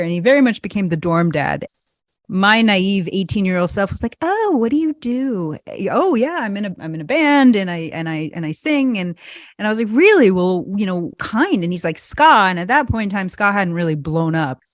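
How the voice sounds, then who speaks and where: A woman's voice: medium pitch, sing-song, normal speed, no room sound, clean studio sound. A woman hosting an interview, chatting in an enthusiastic way and telling anecdotes about her guest. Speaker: host. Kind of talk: casual interview chat.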